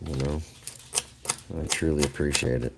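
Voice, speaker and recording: Man, extremely low bass voice, slow drawl, allergy-thickened, rambling livestream chat, faint hiss. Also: fairly even intonation. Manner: slow, rambling, laughs often, thanks viewers. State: allergies, voice lower than usual. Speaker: livestream host. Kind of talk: casual live chat with viewers.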